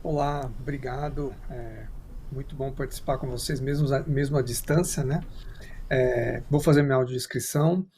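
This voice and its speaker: A man's voice: medium pitch, steady tone, moderate pace, a little mellow, a little hiss or hum. Hesitant man on a video call. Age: middle-aged. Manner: hesitant, many fillers. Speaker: professor and researcher. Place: university office.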